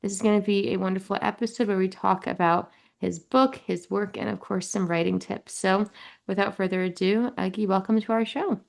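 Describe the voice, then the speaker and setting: A woman's voice: medium pitch, fairly even intonation, fairly quick, vocal fry. A woman hosting a podcast, reading a polished guest introduction and then asking easy, conversational questions. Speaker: podcast host. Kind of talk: podcast interview.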